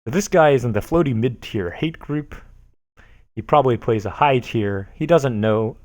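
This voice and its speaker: A man on a casual stream, reading forum posts aloud in a mocking, animated voice with frequent laughter. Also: medium pitch, moderate pace, no echo. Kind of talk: casual dramatic reading with commentary.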